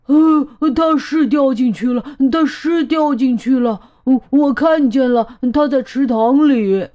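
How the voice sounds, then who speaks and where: Woman as earnest storybook elephant, high-pitched, slow, halting, slightly muffled sound. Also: warm tone. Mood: flustered. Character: earnest, hesitant young storybook elephant witness.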